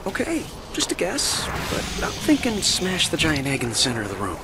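A man's voice: on the high side, huge pitch swings, normal speed. A man voicing a young, sarcastic superhero in a video game, brave and exasperated. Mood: cocky, wisecracking. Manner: sarcastic, quippy. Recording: loud game music behind.

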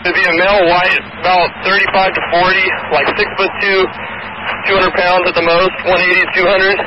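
A man's voice: high-pitched, flat delivery, moderate pace. A male police officer giving urgent, clipped field reports over a two-way radio. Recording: muffled, very noisy police radio transmission.

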